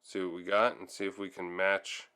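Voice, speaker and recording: A man's voice: deep voice, flat delivery, normal speed, pushed and bright, clear, resonant tone. A man giving casual, hands-on how-to narration as a tutorial host, thinking aloud a little hesitantly as he goes.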